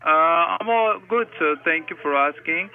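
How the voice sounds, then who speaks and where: A male telemarketer comes through a thin, narrow phone line. His voice sits a little high, and he works through scripted sales questions politely and formulaically, often saying sir. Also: moderate pace. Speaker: telemarketing caller posing as senior supervisor. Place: heard through a desk phone speaker. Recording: tinny speakerphone call audio.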